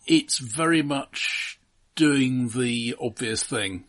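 A man co-hosting a casual film podcast, talking in a measured, analytical and somewhat halting way. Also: mid-range voice, a bit bright.

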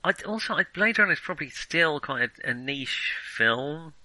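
Bright, forceful man chatting about films. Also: on the high side, expressive, moderate pace, no room sound. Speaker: podcast co-host. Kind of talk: casual film discussion.

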